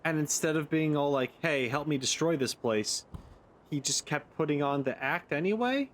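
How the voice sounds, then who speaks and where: A man's voice: fairly high pitch, expressive, moderate pace. A man hosting a gaming video, giving casual playthrough commentary and rambling as he thinks aloud.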